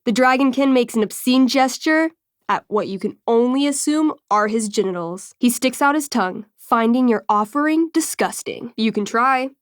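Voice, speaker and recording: A woman's voice: fairly high pitch, animated, moderate pace, no echo, studio quality, lightly acted. An actress playing an enthusiastic young woman game master in a video game, moving between theatrical storytelling and casual, wry asides. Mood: narrating, wry. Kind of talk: video game dialogue, dramatic tabletop narration.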